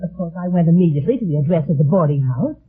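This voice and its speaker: A woman's voice: low-pitched, expressive, racing, slightly rough, heavily acted. An actress playing an anxious, earnest young woman pleading for help in an old radio mystery. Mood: worried, earnest. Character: anxious, earnest young woman seeking her missing brother. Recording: tinny, narrow-band old radio recording.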